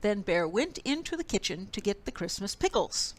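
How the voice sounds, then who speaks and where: A woman storyteller reading a children's picture book expressively, with deliberate, dramatic phrasing. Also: medium pitch, sing-song.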